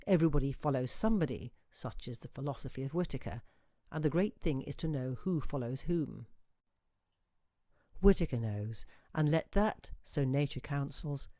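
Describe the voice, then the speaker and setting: A woman reading a literary short story as a storyteller, with measured, expressive phrasing and deliberate pauses. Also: sits low, dry recording, clean studio sound. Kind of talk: dramatic literary narration.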